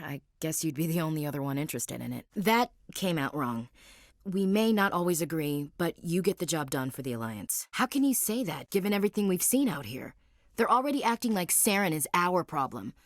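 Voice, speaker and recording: A blunt tough marine, low-voiced. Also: female voice, no room sound. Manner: blunt, dry and wry, a military clip. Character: tough, proud woman marine soldier, guarded and blunt. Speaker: game character dialogue. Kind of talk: studio game voice acting.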